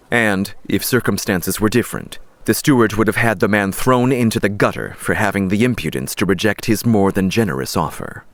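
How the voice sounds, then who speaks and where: A man narrating a fiction audiobook in a measured, dramatic storytelling style. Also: low-pitched, dry recording.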